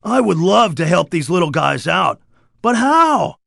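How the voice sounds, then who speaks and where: A man voicing a laid-back but excitable, cowardly surfer-dude creature in a cartoon game, full of easygoing slang. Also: high voice, unhurried, vocal fry, dry recording, shouting, clean studio sound, heavily acted. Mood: eager, amazed. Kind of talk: scripted cartoon game dialogue.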